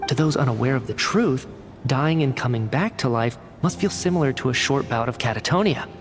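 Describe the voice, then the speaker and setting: An actor playing an earnest, analytical researcher in a video game, articulate and inquisitive, reasoning methodically. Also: male voice, mid-range voice, expressive, fairly quick, lightly acted. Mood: thoughtful. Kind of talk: video game dialogue acting. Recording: studio voice under clear game music. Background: background music.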